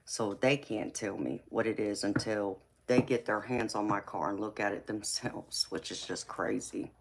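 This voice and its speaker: A woman's voice: a bass voice, slight background noise. A woman with a Southern US accent, from California, hosting a casual livestream as a psychic tarot reader and answering viewer comments, laughing often and calling viewers baby.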